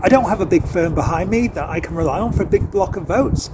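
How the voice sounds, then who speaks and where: A man's voice: high-pitched, fast, noisy background. A man in his fifties with a Manchester accent, giving a scripted campaign address with dry, self-mocking humour. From England. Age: 50s. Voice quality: deadpan self-mocking delivery. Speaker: election candidate.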